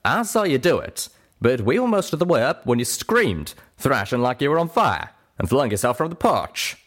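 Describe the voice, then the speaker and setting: A hot-tempered male pegasus from a storybook , acted out by a man, pitched up and swooping as he talks. He is blunt, scolding and protective. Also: sing-song, normal speed. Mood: insistent.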